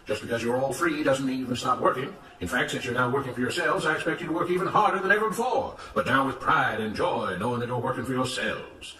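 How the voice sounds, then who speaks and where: A self-righteous plantation overseer from a storybook, acted out by a male narrator, declaims emphatic speeches. The voice is raised high and bright, with an orator's force. It sounds slightly distant in a roomy space. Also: lively intonation, fast, few pauses. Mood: earnest, preachy.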